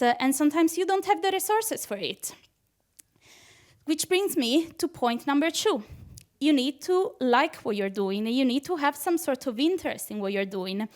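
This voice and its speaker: A young woman with an Italian accent doing a nervous, chatty stand-up comedy set on a club stage, laughing at her own jokes. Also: sits high. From Italy. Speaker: PhD student doing stand-up.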